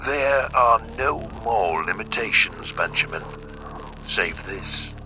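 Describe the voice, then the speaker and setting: An actor playing a solemn, regretful older patriarch, giving a formal, deliberate message on an old tape in an audio drama. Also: male voice, on the low side, lively intonation, bright, forceful tone, a little hiss or hum, full character voice. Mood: grave, regretful. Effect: old tape recording. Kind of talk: slow scripted monologue, audio drama.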